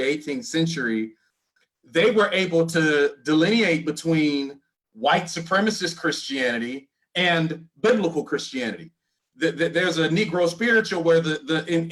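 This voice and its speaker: A man on a discussion panel, explaining his point in a measured way. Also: on the high side, fairly bright tone, rough recording.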